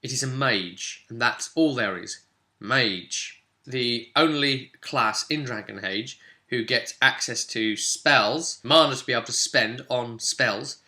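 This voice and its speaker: A man's voice: medium pitch, slow, pushed and bright. A man presenting a guide in an informal, explanatory way, chatty and given to tossing in rhetorical questions. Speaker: presenter of a tabletop game guide.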